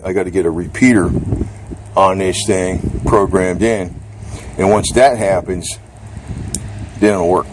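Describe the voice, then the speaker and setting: A man chatting casually and unscripted as he reviews gear, rambling bluntly with salty slang, outdoors under a carport. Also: sits low. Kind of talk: unscripted casual product chat. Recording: noisy outdoor recording with ambient hum.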